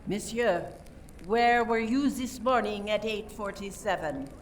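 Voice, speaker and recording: An actress playing a suspicious detective with a deliberate, theatrical, probing manner in a radio play. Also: female voice, medium pitch, natural voice. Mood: probing, skeptical. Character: suspicious French detective methodically questioning suspects. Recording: distant microphone, faint background noise.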